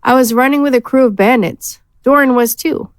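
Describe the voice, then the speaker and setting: A woman's voice: high-pitched, normal speed, dry recording, studio quality, natural voice. A woman playing a former bandit in a fantasy audio drama, candid, defensive and unashamed. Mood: frank, defensive. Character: frank, defiant female former bandit.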